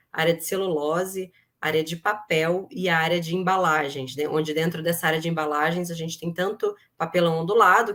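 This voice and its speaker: Woman, middle-aged, Brazilian accent, fairly low pitch, interview guest, conversational, explanatory. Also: a bit bright. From Brazil, grew up in Rio de Janeiro. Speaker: interview guest, human resources executive.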